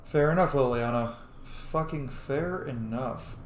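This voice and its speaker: A man's voice: mid-range voice, unhurried, roomy sound, rough recording. A man hosting a gaming video with casual, sweary roleplay commentary, speaking his character's thoughts aloud.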